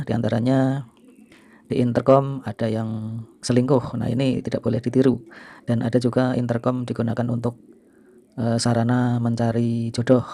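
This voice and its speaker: A middle-aged man from Indonesia hosting a video, reminiscing to viewers in an informal, unhurried, explanatory way. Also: medium pitch, vocal fry, warm tone, dry recording. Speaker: video host and storyteller.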